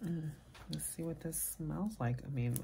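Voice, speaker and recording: A soft-spoken woman chatting casually as a vlogger, murmuring her thoughts quietly as she talks. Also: low voice, unhurried, creaky, slight background noise. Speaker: fragrance vlogger. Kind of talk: casual chat.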